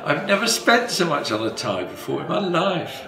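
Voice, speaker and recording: A man in his sixties from England, an amateur choir singer talking softly and hesitantly in a documentary interview. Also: on the high side, very animated, moderate pace, noisy background. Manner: soft-spoken, hesitant, chuckling. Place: living room with leather sofas. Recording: roomy sound with music behind.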